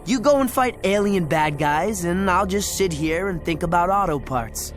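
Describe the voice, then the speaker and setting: A man playing a prickly, streetwise teenage boy in an animated game cutscene, blunt and sarcastic in manner. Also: high-pitched, moderate pace. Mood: dry, cocky. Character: cocky, prickly teenage boy, streetwise former bad guy. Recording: music behind the voice.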